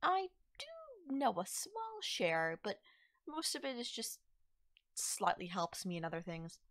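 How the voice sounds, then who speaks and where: A woman acts out a playful young forest witch for an ASMR roleplay. Her pitch swings expressively as she teases, coaxes and reassures the listener. The sound is close and dry. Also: wildly swooping pitch, a bit bright, dry recording, heavily acted. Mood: breezy, confiding. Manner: coy, soothing, conversational with drawn-out words. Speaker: roleplay voice actor.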